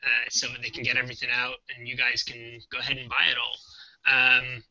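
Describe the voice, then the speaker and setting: A man's voice: medium pitch, completely flat delivery, fairly quick, pushed and bright, highs cut off. A young man, a game developer, co-hosting a casual live stream, talking hesitantly with many ums, on a webcam mic.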